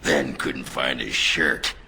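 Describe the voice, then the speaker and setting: An actor playing a crude, boozy, middle-aged dwarf warrior in a fantasy game, blunt and teasing, with a gruff growl. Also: male voice, slow pace, sharp tone, slight background noise, heavily acted. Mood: gleeful mockery. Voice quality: very deep gravelly growl. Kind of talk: fantasy video game voice acting.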